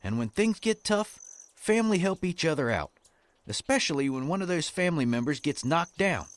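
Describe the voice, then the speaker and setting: Man playing an earnest cowboy hero, lively pitch. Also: moderate pace, dry recording, close to an everyday voice. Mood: earnest, encouraging. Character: earnest, neighborly wandering cowboy hero, rallying and kindly. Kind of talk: comic western audio drama acting.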